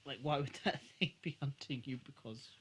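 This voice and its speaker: A man's voice: medium pitch, a little fast, a bit bright, slight background noise. A man co-hosting a podcast in casual, sweary conversation. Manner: informal, sweary. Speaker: podcast co-host. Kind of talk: casual chat reviewing a TV episode.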